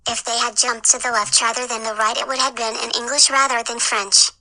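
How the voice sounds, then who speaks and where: Woman doing a deadpan android lady, super bright highish voice, formal n stiff, kinda testy, podcast skit. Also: a little fast, low-quality recording. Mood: dry, testy. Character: semi-sentient pan-dimensional female android, testy and literal.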